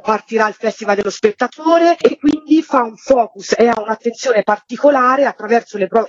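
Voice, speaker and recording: A woman being interviewed as a theatre project organiser, giving detailed, flowing explanations in a husky voice. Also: a little high, a little mellow, no room sound, muffled recording. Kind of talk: spoken radio interview, explanatory.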